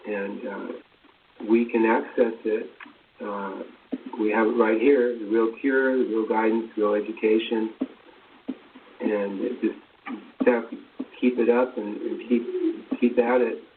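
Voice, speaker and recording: A man giving a rambling spiritual talk in a halting, repetitive, earnest manner. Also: on the low side, flat delivery. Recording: tinny phone line, distant, faint noise.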